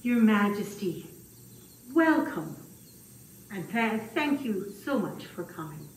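A woman's voice: mid-range voice, very animated. A woman voicing a humble, fretful old man who tells his puppet-show tale in a dramatic, hesitant way. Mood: courteous. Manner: slow, dramatic, hesitant telling with wailing exclamations. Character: humble, fretful old male grass cutter, puppet storyteller. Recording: distant, echoey room with faint noise.

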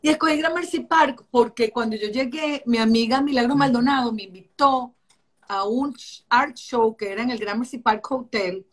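Middle-aged Caracas-accented woman, slightly high voice, lively storyteller on a video call. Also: normal speed. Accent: Caracas Venezuelan. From Venezuela. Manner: animated, chatty storytelling with many restarts. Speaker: architect interviewee. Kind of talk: casual interview. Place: home room.

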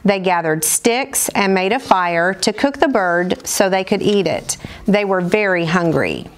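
A woman's voice: medium pitch, animated, unhurried, a little hiss or hum. Middle-aged American woman reading a storybook aloud. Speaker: storyteller, school program director. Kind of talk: read-aloud storybook narration for children. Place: indoors, seated at table before dark curtain.

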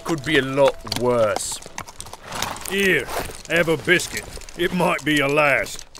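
An actor playing a menacing, mocking kidnapper in an audio drama, with a sneering, taunting drawl. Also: male voice, fairly high pitch, expressive, takes it slowly. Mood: mocking menace. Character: menacing, mocking villain, a kidnapper selling boys to the mines. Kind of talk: family audio drama acting. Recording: noisy outdoor scene with animal sounds.

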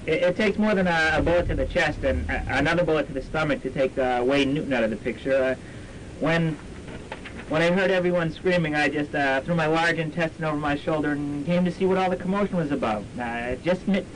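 A man acts out a wounded professor in a campy radio play. He rambles in a slightly high voice, stammering and trailing off, unbothered by his own gore. The amateur student radio recording has a faint rumbling noise. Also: lightly acted, low-quality recording. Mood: feeble, unbothered. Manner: halting, stammering, mumbling asides. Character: wounded, rambling male professor, cheerfully understated about gore. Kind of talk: campy college radio drama acting.

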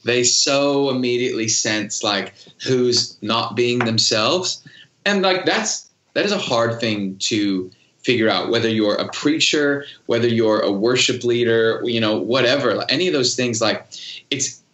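A man's voice: mid-range voice, unhurried, fairly bright tone, reverberant, muffled recording, slight background noise. A man guesting on a podcast interview, talking casually with many fillers and easy laughter about leading worship.

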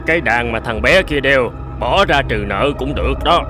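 A man's voice: mid-range voice, expressive, normal speed, music in the background, close to an everyday voice. A man voicing a threatening, sneering gang boss collecting debts in a cartoon, with a mocking, menacing manner. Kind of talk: cartoon voice acting.

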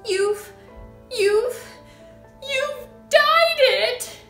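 A woman voicing a hysterical middle-aged mother, scornful and scolding in a shrill screech. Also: expressive, drawn-out words, sharp tone, roomy sound, soft background music, full character voice. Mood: appalled scolding. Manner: scolding, exclamatory.

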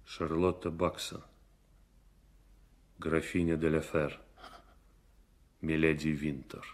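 An actor playing a grave, bitter nobleman who passes judgement in a solemn, commanding manner in a radio drama. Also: extremely low pitch. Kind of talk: 1990s Russian radio drama acting.

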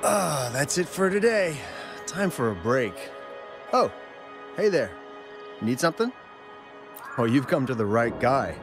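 A man playing a friendly, jumpy young mine worker in a video game, conversational and expressive, hesitating in places. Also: mid-range voice. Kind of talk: video game dialogue, recounting events. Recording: background music under the voice.

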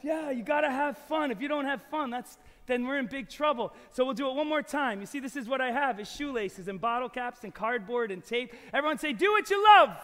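A man performing a live family magic show, coaxing volunteers and the audience with lively energy in a large hall. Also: extremely high pitch, fairly quick, hardly any pauses. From New York. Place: large old church concert hall with audience. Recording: loud background music in a hall.

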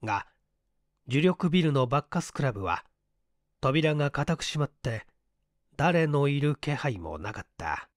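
Lively-pitched male audiobook narrator, dry sound. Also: unhurried, pauses often, no room sound. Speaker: audiobook reader, stage actor. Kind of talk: scripted literary narration of a mystery story.

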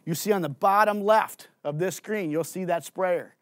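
A man in his forties, a farm agronomist, giving an energetic conference presentation on a studio stage through a headset mic, asking and answering his own questions. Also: high voice, normal speed, dry recording. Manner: gestures and walks on stage while talking. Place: indoor studio stage with green curtains.

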